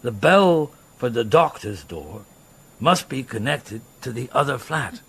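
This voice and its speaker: A man's voice: medium pitch, very animated, unhurried, creaky, fairly bright tone, breathy edge, heavily acted. A man playing a patient middle-aged police inspector in a radio drama, speaking in a hesitant, wry murmur. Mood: polite, furtive. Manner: hesitant, murmuring, stammers mildly. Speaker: actor in the lead role. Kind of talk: naturalistic radio drama acting.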